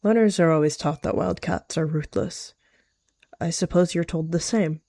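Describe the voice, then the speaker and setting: A woman's voice: low-pitched, moderate pace, creaky, mellow, dry recording, clean studio sound. A woman voicing a scheming, aloof rogue cat in a roleplay, guarded and curt. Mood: guarded, calculating.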